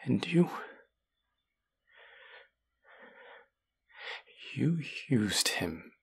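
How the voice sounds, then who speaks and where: A man playing a bitter, menacing fantasy villain in an audio roleplay, with a gravelly, husky voice and a sardonic edge. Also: medium pitch, sing-song, a little hiss or hum, full character voice. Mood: anguished rage. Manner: menacing, sardonic, slow and deliberate. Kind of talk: dramatic audio roleplay acting addressed to the listener.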